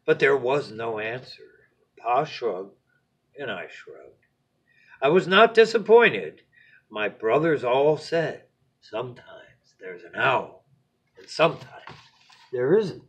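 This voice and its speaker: A man reads a children's bedtime story in a husky voice. He takes his time, leaving dramatic pauses, and his pitch moves widely as phrases rise up and fall away to a hush. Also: big pitch swings, dull audio. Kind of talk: expressive read-aloud of a children's picture book.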